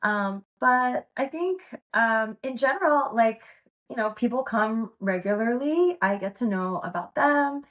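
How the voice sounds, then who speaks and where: A woman's voice: on the high side, animated, measured pace, fairly bright tone. A woman pole dance instructor, a guest on a podcast, talking casually in a rambling way with many fillers and laughs. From United States. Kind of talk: casual interview chat.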